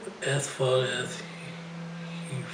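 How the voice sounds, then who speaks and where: Older guy reading a buddhist story bit by bit, really slow, roomy echo. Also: on the high side, steady tone, heavy background noise. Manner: halting, phrase-by-phrase delivery with frequent throat clearing. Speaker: Buddhist spiritual teacher. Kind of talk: reading a scripted life story aloud. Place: indoor shrine room. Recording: roomy echo, music behind.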